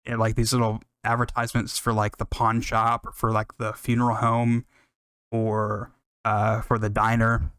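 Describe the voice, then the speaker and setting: A man's voice: fairly low pitch, completely flat delivery, normal speed, fairly bright tone, smooth, no room sound, clean studio sound. An American man hosting a music podcast, talking casually and enthusiastically in a rambling, unscripted way with some swearing.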